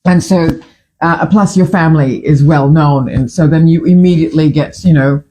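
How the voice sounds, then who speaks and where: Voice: mid-range voice, moderate pace, warm tone. A host in their fifties from Fiji, speaking with a Fijian accent in a casual, opinionated morning chat, full of restarts and the odd swear word. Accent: Fijian English. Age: 50s. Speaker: podcast host.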